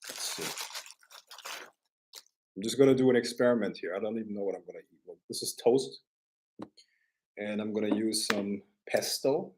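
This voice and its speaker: A man chatting casually as a livestream host, halting and offhand, often repeating his phrases. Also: on the low side, a little hiss or hum. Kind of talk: casual rambling livestream chat.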